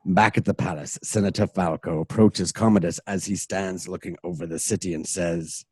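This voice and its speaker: A man's voice: low voice, fairly even intonation, normal speed, a little mellow, breathy edge, no room sound. A man narrating a radio play from a script in an informal, dramatic way, with casual asides.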